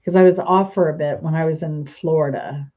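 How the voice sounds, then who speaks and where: Woman host, stuffy and congested, casual rambling chat, low voice, dull lo-fi recording. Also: steady tone, fairly quick, warm tone. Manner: chatty, rambling, trails off mid-sentence. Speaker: channel host.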